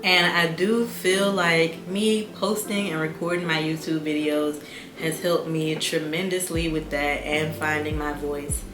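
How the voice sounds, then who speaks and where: Young woman vlogger, calm and relaxed delivery, low voice, echoey room over background music. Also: measured pace. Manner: calm, soft-spoken, reflective. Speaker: YouTuber. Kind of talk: casual reflective vlog.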